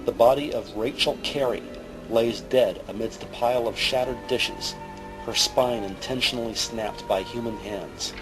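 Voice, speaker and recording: A man's voice: medium pitch, poor audio. Guy narrating a mystery audio drama, dramatic, medium voice, music behind him.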